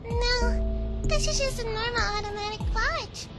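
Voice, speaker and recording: A woman's voice: very high-pitched, unhurried, loud music behind the voice. A woman playing a timid, sweet schoolgirl in an anime fan dub, childlike and hesitant. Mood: amazed.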